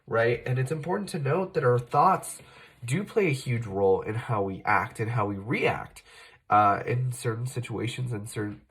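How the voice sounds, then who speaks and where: A man's voice: mid-range voice, moderate pace, fairly bright tone. A man with a slight cold speaks in a mid-range voice as he walks viewers through a tarot reading. His delivery is loose and conversational, full of "uh" and "like," and he often catches and corrects himself mid-sentence. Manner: conversational, self-correcting, many fillers. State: fighting off a cold, occasional cough. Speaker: tarot reader, channel host. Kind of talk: casual tarot reading to camera.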